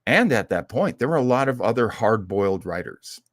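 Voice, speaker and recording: A man's voice: lowish voice, big pitch swings, normal speed, no echo. A man hosting an old-time radio program, giving casual commentary in a chatty, folksy, opinionated way.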